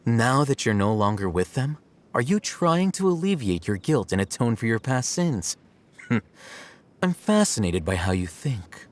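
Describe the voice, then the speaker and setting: A man's voice: mid-range voice, big pitch swings, unhurried. A man voicing an aloof, articulate, needling scholar in a video game. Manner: articulate, cool, provoking. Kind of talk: video game character dialogue.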